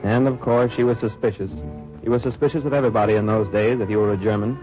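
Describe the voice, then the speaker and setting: A man's voice: fairly low pitch, steady tone, fast talker, close to an everyday voice. An actor playing an American spy posing as a German salesman, narrating in terse hard-boiled first person in a 1940s radio drama. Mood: tense. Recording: muffled old radio recording with music.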